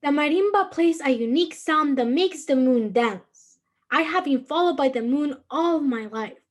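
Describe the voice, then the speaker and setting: A high-pitched young woman in her late teens with a heavy Guatemalan Spanish accent. She reads her own prose slowly and earnestly, in a careful, measured recitation. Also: expressive. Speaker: student reader. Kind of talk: reading personal prose aloud.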